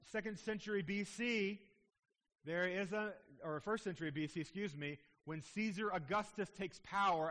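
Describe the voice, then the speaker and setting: A man's voice: high-pitched, bright, forceful tone, big-room sound. A man preaching a sermon, explaining a scripture passage in a teaching manner with frequent asides to the listeners. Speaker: pastor.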